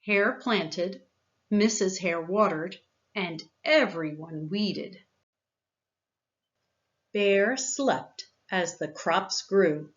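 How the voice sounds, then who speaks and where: A woman's voice: medium pitch, extremely slow, pauses often, highs cut off. A woman reading a children's storybook aloud as narrator. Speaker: story reader.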